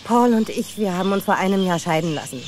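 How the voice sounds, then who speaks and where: A woman's voice: mid-range voice, lively intonation, fast talker, noisy background, close to an everyday voice. A woman playing a headstrong, worried mother in a German audio drama, speaking emotionally and insistently. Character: headstrong, worried divorced mother searching for her son.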